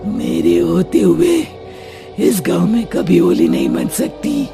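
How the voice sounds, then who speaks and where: A woman voicing the menacing male ghost of a dead king, giving drawn-out threatening warnings in a cartoon story. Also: lowish voice, huge pitch swings, gravelly, over music, full character voice.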